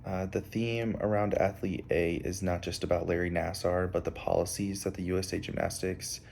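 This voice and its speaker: A young man giving a prepared analysis as a student presenter, halting often with filled pauses. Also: deep voice, little pitch movement, vocal fry, darkish tone, slight background noise. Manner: halting, hesitant delivery with frequent filled pauses.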